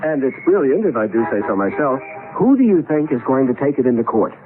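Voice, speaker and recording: An actor with an American accent playing a wry, easily flustered lawyer husband in a 1970s radio drama. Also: male voice, medium pitch, sing-song, fast, phone-quality sound, over music, natural voice. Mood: casual. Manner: wry, exasperated, stammers when alarmed. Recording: band-limited old radio broadcast.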